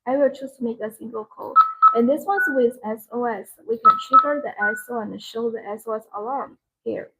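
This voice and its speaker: A woman's voice: fairly high pitch, brisk, over music, rough recording. A woman who speaks English as a second language, presenting products on a live sales stream in simple, short, repeated phrases. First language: not English.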